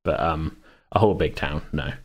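A man's voice: very deep voice, normal speed, breathy edge. A man chatting casually as a podcast host, rambling and often interrupting himself. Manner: rambling, self-interrupting, hedging. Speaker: podcast host and game master. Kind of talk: casual chat.

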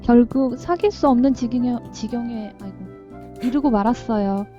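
A woman with a very high but mellow voice reads visual novel lines aloud. The sound is dull and lo-fi, with music underneath. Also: moderate pace. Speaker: co-reader playing the heroine on a game stream.

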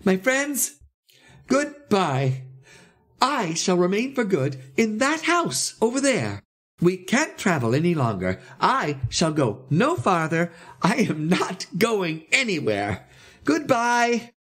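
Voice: medium pitch, huge pitch swings, slow, a bit bright, husky, voice pushed up, full character voice. A narrator playing a meek, dreamy male refugee in a literary story, exclaiming and pleading in a plaintive way. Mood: elated, resolute. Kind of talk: dramatised literary reading.

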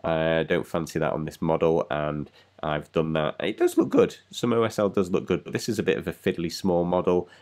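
Deep-voiced male tutorial host, congested from a cold, rambling and easygoing. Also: brisk, a little mellow. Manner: rambling, self-deprecating, chatty. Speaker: miniature painting channel host. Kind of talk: casual painting tutorial voice-over.

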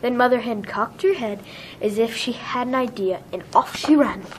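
A woman's voice: fairly high pitch, moderate pace, a little hiss or hum, poor audio. A woman narrating a children's storybook, reading it aloud.

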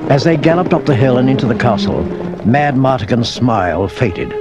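A man narrates an adventure storybook in a scripted read-along style. His voice is a middling male pitch, set over loud orchestral music and effects. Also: big pitch swings, moderate pace. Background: film score music and sound effects.

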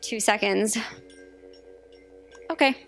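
A woman's voice: medium pitch, takes it slowly, a bit bright, faint music behind. A young woman playing a self-willed female countdown program on stage, blithe and needy. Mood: self-assertive. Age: young adult. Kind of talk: staged comic audio drama acting. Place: theatre stage before an audience. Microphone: stand microphone.

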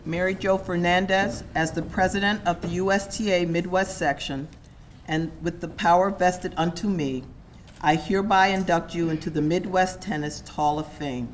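A woman's voice: fairly low pitch, fairly even intonation, moderate pace, slight background noise. A woman serving as section president, formally reading a hall of fame induction statement at a podium microphone. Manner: formal, reads a prepared statement. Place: hotel ballroom stage.

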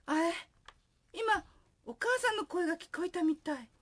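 A woman plays a sweet young daughter in a radio drama. Her voice is very high and highly animated, with an emotional delivery. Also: normal speed. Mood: gentle.

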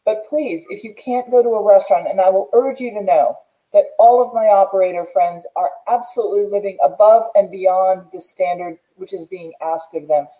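A woman's voice: mid-range voice, dark tone, rough recording. A middle-aged American woman hosting an interview over a video call in an earnest, emphatic way. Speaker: show host. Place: indoors, plain room.

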